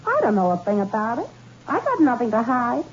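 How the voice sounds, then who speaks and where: Brassy, sarcastic ex-maid. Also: female voice, fairly high pitch, very animated, a little fast. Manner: tough, sarcastic and dismissive. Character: brassy, streetwise young woman, a former maid. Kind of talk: 1960s radio drama acting. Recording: dull, hissy old radio recording.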